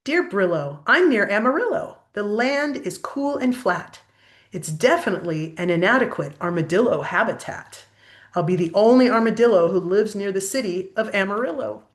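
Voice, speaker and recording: A woman's voice: mid-range voice, animated, normal speed, natural voice. A woman voicing a curious, wondering male armadillo traveler in a storybook, earnest and full of questions. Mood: chatty.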